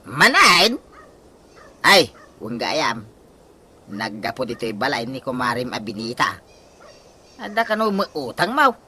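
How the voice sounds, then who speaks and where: A woman playing an excitable, gullible nag in an Ilocano-accented comedy radio drama, full of exclamations. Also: a bass voice, takes it slowly, pauses often, breathy edge, full character voice. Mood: grumbling. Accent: Filipino Ilocano. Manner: exclamatory, swooping.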